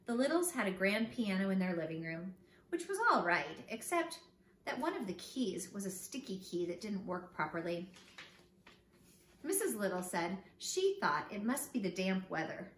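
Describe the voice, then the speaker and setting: A woman's voice: mid-range voice, moderate pace. Woman reading a storybook in an echoey room. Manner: expressive, addressing young listeners directly. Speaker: teacher reading a children's book aloud. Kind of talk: storybook read-aloud for children. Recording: echoey room with background noise.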